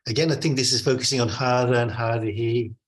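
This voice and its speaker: Male online tutor, headset on a call, gentle encouraging manner, pauses often. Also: medium pitch, fairly even intonation. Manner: patient, encouraging, hesitant with fillers. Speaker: Arabic teacher. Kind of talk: online class teaching.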